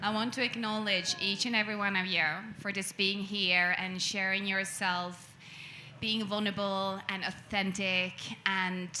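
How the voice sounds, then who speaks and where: Bright-voiced woman moderating a panel. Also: mid-range voice, slight background noise. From Sweden. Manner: reflective, rephrasing as she goes. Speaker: panel co-host. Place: event venue with an audience.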